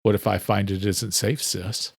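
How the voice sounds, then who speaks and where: A man's voice: on the low side, little pitch movement, normal speed, vocal fry, slightly rough, no echo, clean studio sound. Man as a firm, protective brother. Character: protective ex-military brother, firm but caring.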